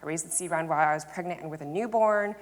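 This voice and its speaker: A woman's voice: deep voice, very fast, a little hiss or hum. A woman in her thirties, a startup founder on a panel, talking candidly and informally about herself. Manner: informal, peppered with "like" and self-quotation. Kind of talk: candid conversational storytelling on stage. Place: indoor event stage before an audience. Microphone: lapel mic.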